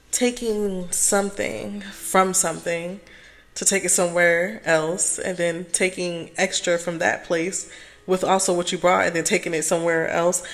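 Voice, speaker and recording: A hoarse woman speaking calmly and softly as she gives a casual tarot reading. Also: fairly low pitch. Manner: calm, soft-spoken, chatty. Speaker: tarot reader.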